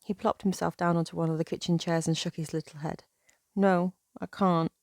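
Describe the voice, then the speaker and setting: A woman reads a first-person horror story as its narrator. Her voice sits fairly low with a noticeable creak, and faint music plays behind her. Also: flat delivery, fast, a little mellow, no echo, studio quality.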